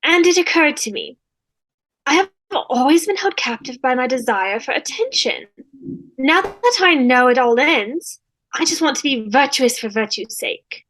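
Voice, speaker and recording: A woman's voice: sits high, expressive, unhurried, fairly bright tone, heavily acted, rough recording. A woman playing a gracious, wordy, name-dropping rich socialite in a scripted comedy read over a video call. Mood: reflective. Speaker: fan table-read actor.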